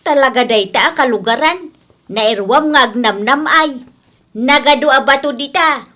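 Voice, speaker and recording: Actress as an indignant, high-pitched village auntie. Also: female voice, measured pace, sharp tone. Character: indignant village auntie guarding what is hers. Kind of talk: comedic radio drama acting.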